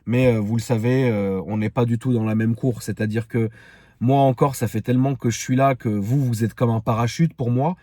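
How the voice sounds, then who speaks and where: A man's voice: mid-range voice, fairly even intonation, a little fast, dark tone, no room sound, studio quality. A man in his thirties with a Parisian French accent, rambling frankly and casually in slang to his online followers. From Paris, France. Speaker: online video creator. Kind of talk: casual personal storytelling to followers.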